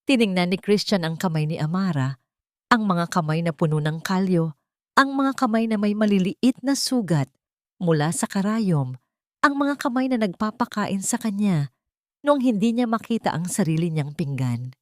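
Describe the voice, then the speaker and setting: A woman's voice: medium pitch, expressive, moderate pace, dry recording, studio quality. A woman reading an emotional story as a dramatic scripted narration.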